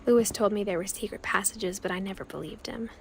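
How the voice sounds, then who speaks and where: A woman's voice: mid-range voice, fairly quick, gravelly, a little mellow, slight background noise, lightly acted. A young woman playing a reflective teenage girl who recalls her family in wistful, understated game narration. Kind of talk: scripted first-person game narration.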